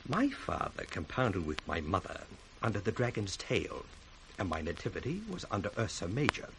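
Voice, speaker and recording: Sly British schemer, wildly swooping delivery. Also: male voice, deep voice, big pitch swings, moderate pace, heavily acted. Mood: mocking, scornful. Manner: theatrical, sardonic, crisply declaimed. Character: scheming, mocking bastard son, sly and ambitious villain. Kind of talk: 1960s radio Shakespeare acting. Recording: faintly hissy 1960s radio recording.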